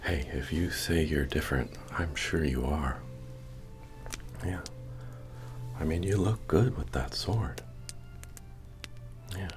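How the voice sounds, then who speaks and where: A man playing a friendly, humble medieval innkeeper and retired adventurer in a soft-spoken, folksy ASMR fantasy roleplay, with hesitant murmuring. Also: slow pace, long pauses. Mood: folksy, cautioning. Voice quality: deep, gravelly, often breathy or whispered. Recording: music under the voice. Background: medieval bard music.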